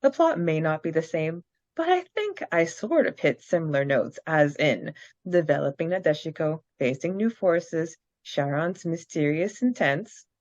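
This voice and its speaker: A woman's voice: sits low, expressive, darkish tone, dry recording. A woman co-hosting a casual fan podcast, reading out questions and answers and chatting. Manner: reads questions aloud, quick bursts, laughs often. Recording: dry, dull lo-fi sound.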